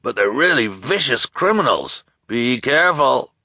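A man voicing an excitable, gullible male shopkeeper in a storybook reading. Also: mid-range voice, a little slow, no echo, voice pushed up, full character voice. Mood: excited. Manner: excited, crying out.

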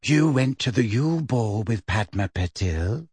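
A man voicing a vague, dreamy teenage witch girl who speaks slowly and bluntly in an audiobook story. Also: mid-range voice, expressive, a little mellow, slightly rough, no echo. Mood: dreamy, detached. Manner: vague, slow, thoughtful, unhurried.

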